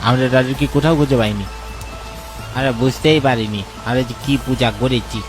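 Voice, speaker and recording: A man playing a worried, urgent village man in an animated horror story, speaking anxiously. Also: mid-range voice, moderate pace, music in the background, lightly acted. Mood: fearful.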